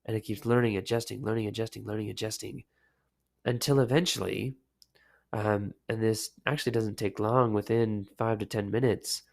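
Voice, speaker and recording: A man hosting a casual solo podcast, sick with a lowered, deep voice, talking in a relaxed, rambling way with many fillers. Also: moderate pace, creaky. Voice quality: deep, low voice. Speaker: podcast host.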